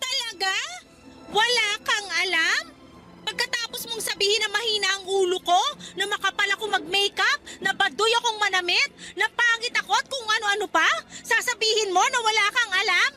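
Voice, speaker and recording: A confrontational young office worker in a radio drama. Her voice is very high, loud and accusing, full of scorn. Music plays clearly behind her. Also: moderate pace. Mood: angry, scornful. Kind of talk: Tagalog radio drama acting.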